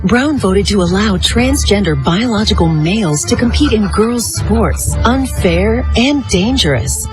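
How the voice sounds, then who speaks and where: Disapproving American woman narrating attack ad. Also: medium pitch. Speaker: political ad narrator. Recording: voice-over with music bed.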